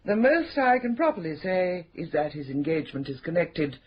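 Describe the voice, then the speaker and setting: An actress playing a prim, mischievous, scheming spinster who runs a charity, in a radio drama. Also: female voice, lowish voice, sing-song, moderate pace, husky. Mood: discreet. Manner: arch, coy, prim and mischievous. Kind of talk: 1970s radio drama acting. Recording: dull slightly noisy radio drama recording.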